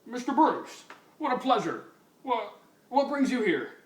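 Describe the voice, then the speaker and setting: Man putting on a flustered high-pitched police commissioner, roomy. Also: big pitch swings, unhurried, full character voice. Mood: fawning. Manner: stammering, over-eager. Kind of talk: exaggerated amateur dramatic reading. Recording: roomy, distant mic with hiss.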